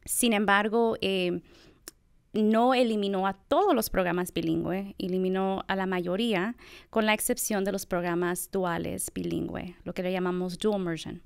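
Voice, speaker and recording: Gravelly yet smooth-voiced woman professor. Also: mid-range voice, steady tone, normal speed, dry recording, studio quality. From United States. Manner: thoughtful, reflective, many fillers. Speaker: assistant professor of bilingual education. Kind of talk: conversational interview.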